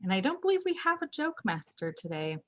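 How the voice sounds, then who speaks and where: A woman's voice: mid-range voice, big pitch swings, fairly quick, fairly bright tone. Upbeat woman hosting an online meeting. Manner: upbeat, organized announcements. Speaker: toastmaster of the meeting. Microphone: video call.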